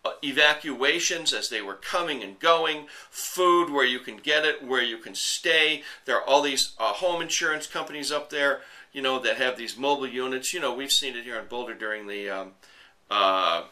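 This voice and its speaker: A man's voice: medium pitch, normal speed, pushed and bright. A middle-aged American man hosting an online commentary show, delivering an indignant, blunt, off-the-cuff rant to viewers.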